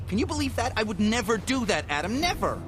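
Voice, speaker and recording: An actor playing a chatty, jittery, defensive forensic investigator in a video game, excitable and rambling with hesitations. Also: male voice, sits high, fast talker, over music. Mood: agitated, indignant. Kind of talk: video game cutscene acting.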